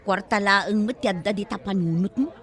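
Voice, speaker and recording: A woman's voice: medium pitch, a little fast, fairly bright tone, close to an everyday voice. A woman acting a chatty grown daughter in a family comedy audio drama. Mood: bickering. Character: chatty adult daughter of a retiring teacher.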